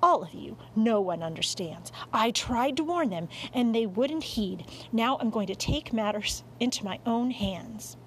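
An indignant storybook tomcat, played by a woman, speaks with theatrical exasperation and lively, swooping pitch. He is haughty and melodramatic, and a clear background noise sits behind the voice. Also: unhurried, few pauses, poor audio. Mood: wounded, dramatic. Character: indignant, melodramatic male cat convinced of vampires. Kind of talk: audiobook character voice.

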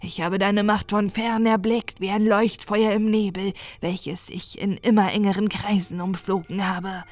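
A woman reading an audiobook, voicing a mocking male being from another dimension in a slow, breathy, unvoiced whisper. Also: medium pitch, flat delivery, darkish tone, dry recording, close to an everyday voice. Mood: scornful whisper. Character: eerie whispering otherworldly male being, scornful.

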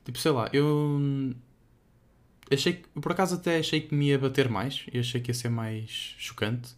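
A man's voice: medium pitch, steady tone, normal speed. Hesitant young Portuguese man, casual podcast talk. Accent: European Portuguese. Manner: hesitant, rambling, filler-heavy. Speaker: podcast co-host.

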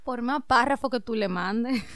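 A woman's voice: a little high, normal speed, fairly bright tone, no echo, studio quality. A woman co-hosting a podcast, chatting casually, addressing listeners directly and laughing easily. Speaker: podcast co-host. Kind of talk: casual chat and reading her own writing aloud.

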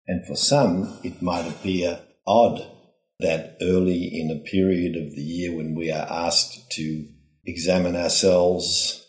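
A low-voiced man gives a reflective scripted homily. He speaks very slowly and formally, setting down each phrase with care, in a roomy, echoing space. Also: darkish tone. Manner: deliberate, phrase-by-phrase delivery, formal. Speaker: priest giving a reflection.

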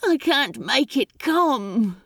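A woman's voice: high-pitched, big pitch swings, drawn-out words, husky, no room sound, clean studio sound, pitched above the performer's own voice, heavily acted. A woman voicing an anguished male demon, despairing yet defiant, in an emotional, pleading read of a story. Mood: terrified, triumphant.

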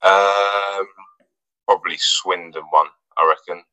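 A man's voice: low-pitched, takes it slowly, no echo, poor audio. A man, a footballer from England, talking casually in an interview with lots of fillers and restarts. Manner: relaxed, hesitant, many fillers and restarts. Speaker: footballer guest.

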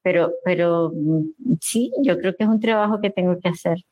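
Woman with a low voice, unhurried and soft, full of hesitations; dry, close sound. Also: no echo, rough recording. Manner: slow, halting, soft-spoken with many restarts. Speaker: social audio room participant. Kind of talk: casual chat.

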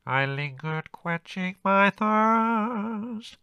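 A man mimics a nasal tenor crooner for a storybook. The voice is high, drawn out and warbling, tunelessly swooping along. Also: lively intonation, extremely slow, bright, forceful tone, clear, resonant tone, no room sound, dull audio, heavily acted.

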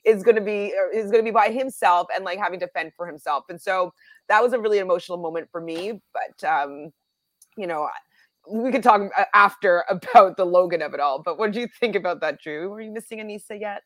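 A woman's voice: mid-range voice, fairly quick, no room sound. A woman chatting casually and giving her informal opinions as a panelist on a recap show. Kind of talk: casual chat.

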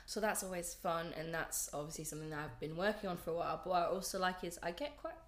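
A woman's voice: on the low side, quick pace, reverberant. A young woman in her twenties, chatting as a podcast guest, a bit congested from a flu, rambling and self-deprecating. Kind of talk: casual chat.

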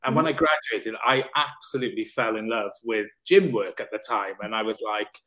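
A man from South Wales, a personal trainer and coach, telling his life story candidly as a podcast guest, with lively swearing. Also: lowish voice, moderate pace.

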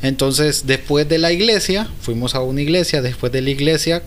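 A man's voice: a little high, moderate pace, a little hiss or hum. A man co-hosting a casual podcast chat, telling an anecdote with frequent restarts. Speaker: podcast co-host.

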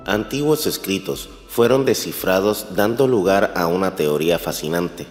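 A man's voice: low voice, lively intonation, moderate pace, a little mellow. A man reading scripted documentary narration in formal, complete sentences. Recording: reverberant voice over music.

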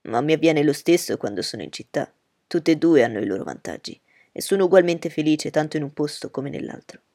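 Woman voicing impulsive young gentleman, a bit lower, emphatic, raspy, audiobook. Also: lively intonation, brisk, no echo, studio quality. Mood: eager. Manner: eager, emphatic.

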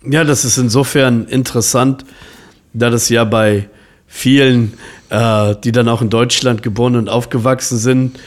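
A man's voice: mid-range voice, fairly even intonation, moderate pace. A man in his forties hosting an interview podcast, asking long, rambling questions full of fillers and restarts. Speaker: podcast host. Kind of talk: casual interview chat.

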